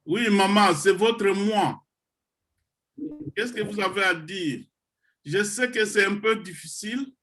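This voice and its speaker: Middle-aged man, slightly high voice; unhurried, measured course leader. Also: a bit bright. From Democratic Republic of the Congo. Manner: slow, deliberate, with pauses and hesitations. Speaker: project founder leading an online computer course. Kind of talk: motivational talk to trainees on a video call.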